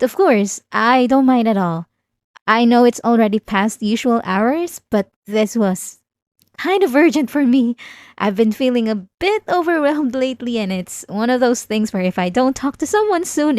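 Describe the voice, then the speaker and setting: An obsessive, manipulative young woman in therapy, acted by a woman, talks in a fairly high voice for an ASMR roleplay. She is eagerly polite and hesitant, with a nervous, defensive edge. The sound is very dry and close to the microphone. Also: no echo. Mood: polite, anxious. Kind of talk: scripted ASMR roleplay acting.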